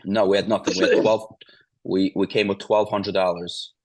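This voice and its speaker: Man in his forties, very low voice, monotone, dry close sound, rambling interview storytelling. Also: fairly quick, no echo, poor audio. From Ukraine. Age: 40s. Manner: meandering, restarts, repeats "the bottom line is". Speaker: interview guest.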